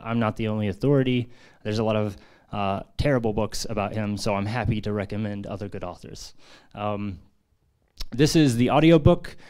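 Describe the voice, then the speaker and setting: A man's voice: mid-range voice, darkish tone, smooth. An American man giving a casual, earnest talk at a book launch in a press room, with frequent fillers. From United States. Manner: earnest, conversational with many fillers. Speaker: author presenting his book. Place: press centre conference room.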